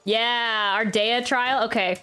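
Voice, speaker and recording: A woman's voice: on the high side, fairly even intonation, unhurried, bright, forceful tone. A woman giving casual, chatty live commentary while she plays a video game. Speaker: game streamer. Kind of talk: live gameplay reaction commentary; casual chat. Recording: game music behind the voice. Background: video game music.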